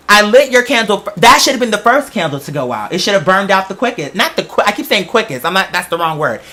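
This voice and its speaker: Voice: medium pitch, pushed and bright. A chatty podcast host telling stories in a casual, rambling way, with slangy, emphatic phrasing and frequent digressions. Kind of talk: casual rambling storytelling to an audience.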